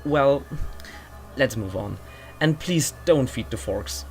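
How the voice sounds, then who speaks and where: A woman's voice: very deep voice, lively intonation, slow, exaggerated voice. A woman playing a fussy, courteous steward of a magical mansion, giving a guided tour in a pompous, formal, theatrical audio drama manner. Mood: bemused, courteous. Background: music.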